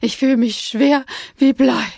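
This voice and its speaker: A woman voicing a sad, grumpy old female owl in a storybook, slow and complaining with a creaky voice. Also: sits high, steady tone, a little mellow, no room sound, clean studio sound, voice pushed up, exaggerated voice. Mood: gloomy.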